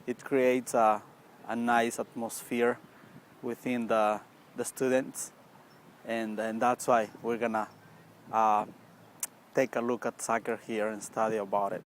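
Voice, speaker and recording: A man's voice: mid-range voice, little pitch movement, a little hiss or hum. Hesitant young man from Guatemala. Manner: hesitant, many pauses with uh. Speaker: anthropology student. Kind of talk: casual self-introduction.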